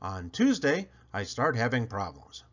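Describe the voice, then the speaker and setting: A man reading a picture book aloud in an animated storytelling style, with theatrical emphasis on questions and lists. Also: medium pitch, measured pace, dull audio. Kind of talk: animated children's read-aloud narration.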